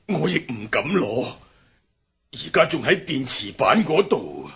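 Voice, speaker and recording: A stammering frightened servant. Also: male voice, medium pitch, very animated, a little slow, a bit bright, husky, exaggerated voice. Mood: fearful. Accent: Hong Kong Cantonese. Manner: stammering, timid. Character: frightened male servant caught in a scheme. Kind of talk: radio drama acting.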